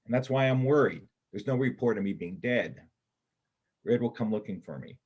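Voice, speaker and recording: A man in a readers' theater play acting a wounded, rattled bank robber on the run, with a halting, stammering, rambling delivery. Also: mid-range voice, animated, breathy edge, lo-fi sound. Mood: anxious, urgent.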